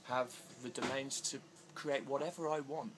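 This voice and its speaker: A man of middle pitch speaks haltingly, full of fillers and false starts. His voice sometimes rises and grows louder as he gets worked up. Clear background noise sits under the recording. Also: steady tone. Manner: hesitant, many fillers and restarts, growing agitated. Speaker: interviewee. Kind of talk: casual interview answers. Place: outdoors in India.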